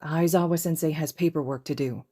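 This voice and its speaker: Woman reading as a calm, low-voiced teenage boy. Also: a little fast. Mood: calm, gentle. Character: protective, laid-back teenage boy, gentle with a child.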